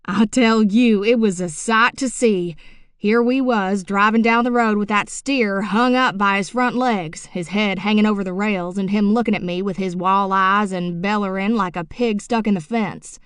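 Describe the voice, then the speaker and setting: A woman's voice: mid-range voice, fairly even intonation, moderate pace, clear, resonant tone, no echo, studio quality, natural voice. A woman narrating an audiobook, voicing a middle-aged rural man telling a tall tale in a drawling, folksy way. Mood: yarn-spinning. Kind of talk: audiobook character reading.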